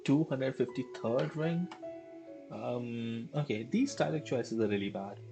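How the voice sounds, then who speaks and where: Very low-voiced woman streaming a game. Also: measured pace, creaky, over music. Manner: chatty, thinks aloud with fillers. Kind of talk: live gameplay commentary.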